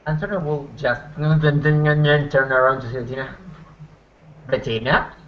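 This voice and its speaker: A man roleplaying casually, narrating his character in a halting, sardonic, sweary manner. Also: a little high, steady tone, muffled recording, slight background noise. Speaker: tabletop roleplayer.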